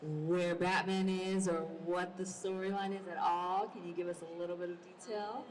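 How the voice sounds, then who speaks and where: A woman's voice: on the low side, fairly even intonation, moderate pace. A woman moderating a panel discussion at a convention. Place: large indoor convention panel. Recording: noisy convention hall, distant mic.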